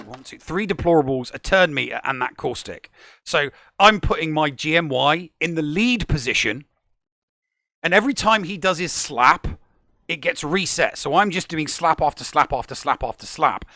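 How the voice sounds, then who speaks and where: Bright, gravelly-voiced gaming podcast man. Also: a little high, no room sound, studio quality. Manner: animated, stumbling restarts when excited. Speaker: podcast co-host. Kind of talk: casual gaming podcast chat.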